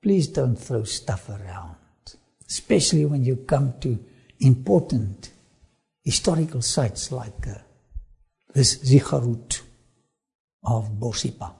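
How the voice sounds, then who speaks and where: A man with an Afrikaans accent, whose first language is Afrikaans, presenting a travel documentary with sermon-like asides, in slow, emphatic, drawn-out phrasing. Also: mid-range voice, lively intonation, vocal fry, mellow, husky.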